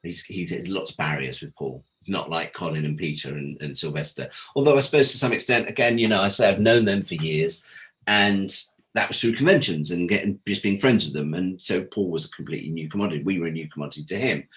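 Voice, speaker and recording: A British man chatting as an interview guest, telling anecdotes in a casual, animated way and acting out bits of dialogue. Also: low voice, fast, poor audio. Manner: animated storyteller, acts out quoted dialogue. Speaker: interview guest, former audio drama producer.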